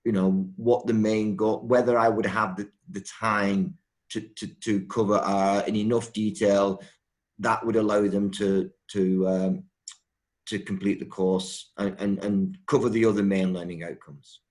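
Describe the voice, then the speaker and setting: Professor type, male, slightly low pitch, stop-start delivery over a video call. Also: flat delivery. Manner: halting, many restarts and repeated words. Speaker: university professor.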